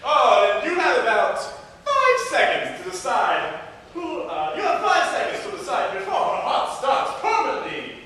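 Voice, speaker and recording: A gleefully evil young mad scientist drags out his melodramatic villain lines in a bright, forceful voice. It is an amateur stage comedy, heard from far off in a very echoey hall. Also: extremely high pitch, wildly swooping pitch, unhurried, full character voice. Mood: scheming glee. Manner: melodramatic, cackling villain delivery. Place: indoor performance room, mic far off. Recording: echoey hall, distant mic, faint noise.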